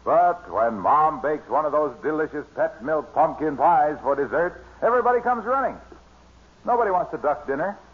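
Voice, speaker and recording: An actor playing a jovial American announcer friend in an old radio comedy, with a polished, enthusiastic sales delivery. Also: male voice, fairly high pitch, lively intonation, moderate pace, lightly acted. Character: jovial, hearty pitchman friend who slips into sales talk. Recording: muffled old-time radio recording, faint noise.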